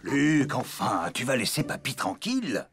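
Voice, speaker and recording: Good-natured, animated elephant dad. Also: male voice, medium pitch, measured pace, vocal fry, faint music behind, heavily acted. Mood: mildly firm. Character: good-natured elephant father, mildly firm. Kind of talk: French cartoon dubbing.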